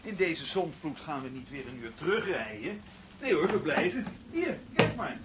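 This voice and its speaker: An actor playing a tense, evasive husband in a radio play, with a husky, hesitant, placating way of talking. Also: male voice, a little high, big pitch swings, normal speed, heavy background noise. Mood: placating, dismissive. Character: tense, evasive husband taking a nervous woman away. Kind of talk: Dutch radio play acting.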